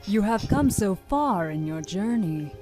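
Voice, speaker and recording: A woman voicing a calm, menacing demon of wrath with solemn, deliberate delivery in a visual novel game. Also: mid-range voice, faint music behind, lightly acted. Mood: solemn, cryptic. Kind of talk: dramatic visual novel voice acting.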